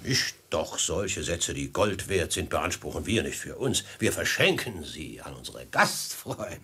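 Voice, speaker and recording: An actor playing a falsely courteous desert robber chief with a husky voice, unctuous and flowery, in a 1950s German radio drama. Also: male voice, low-pitched, big pitch swings, a little slow, bright, forceful tone, full character voice. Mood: mock friendly. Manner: unctuous, flowery, mock-friendly, theatrical.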